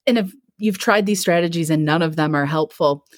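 A woman with a somewhat low voice chats as a podcast co-host. The sound is dry, free of room echo. She gives advice conversationally and tends toward joking, informal asides. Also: fairly quick.

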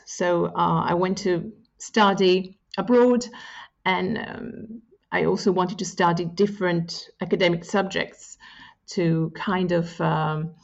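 A woman's voice: lowish voice, gravelly. Thoughtful woman, muffled audio. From Greece. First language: Greek. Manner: thoughtful, with frequent pauses and fillers. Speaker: guest. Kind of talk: reflective interview answers.

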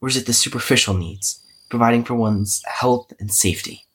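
A man acting as a weary young man keeping an audio diary in a horror podcast drama. His voice is husky and sits at an average male pitch. He muses hesitantly, self-effacing, with a wry cynical streak. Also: a little fast, fairly bright tone. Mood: bitter, brooding. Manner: hesitant, musing, wryly cynical.

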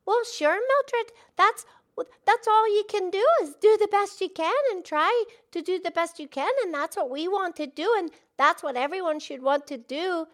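A woman's voice: very high-pitched, normal speed. A woman voicing a cheerful, chatty female pig who hosts a puppet show, talking in bubbly, eager, rambling bursts. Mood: earnest.

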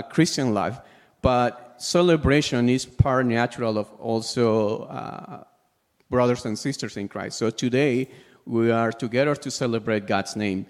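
A man from Peru with a Peruvian Spanish accent preaches a sermon in English as a second language, pausing now and then to search for words. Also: mid-range voice. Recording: long hall reverb.

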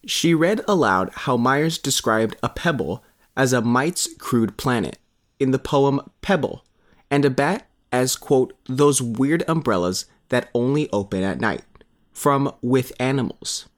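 A man's voice: medium pitch, expressive, unhurried. Man reading a scripted news feature. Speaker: newspaper reporter reading his article.

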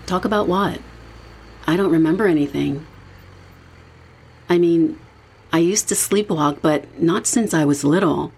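A woman's voice: lowish voice, measured pace, vocal fry, pauses often, darkish tone, slightly rough, heavily acted. An actress playing a young woman with a casual, reflective manner, in a naturalistic audio drama. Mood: uneasy, reflective. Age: young adult. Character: uneasy young woman haunted by vivid dreams.

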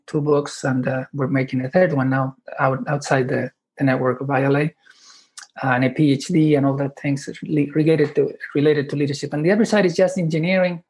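A man in his fifties from Chile, speaking English, talking haltingly with many restarts as a podcast guest. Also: fairly high pitch, darkish tone. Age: 50s. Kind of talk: podcast interview.

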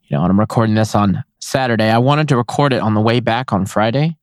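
A man hosting his own podcast, chatting casually and excitedly with frequent exclamations, his voice a little hoarse. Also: on the low side, fast, no room sound, studio quality. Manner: enthusiastic, rambling, laughs often. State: slightly hoarse voice. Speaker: podcast host. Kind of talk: casual solo podcast recap.

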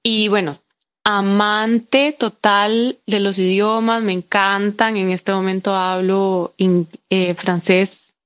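A woman's voice: medium pitch, flat delivery, slow, a bit bright, clear, resonant tone. Chatty young Costa Rican woman. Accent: Costa Rican Spanish. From Costa Rica. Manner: chatty, enthusiastic, frequent filler words and tag questions. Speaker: guest. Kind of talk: conversational interview.

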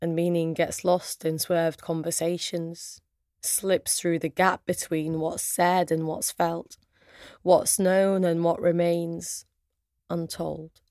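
A woman with a British accent and Arabic as her first language, reciting spoken word poetry in measured, deliberate phrases with pauses. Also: fairly low pitch, level tone, darkish tone, dry recording, studio quality.

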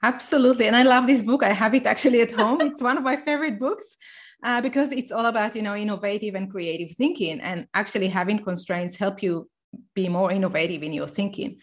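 A woman co-hosting an education podcast who talks eagerly, with quick bursts and some restarts. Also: mid-range voice. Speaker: podcast co-host. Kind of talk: casual chat.

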